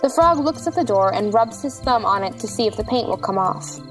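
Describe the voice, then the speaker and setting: A woman's voice: on the high side, expressive, a little fast, faint music behind, poor audio. A woman narrating stage directions from a script for a storybook play.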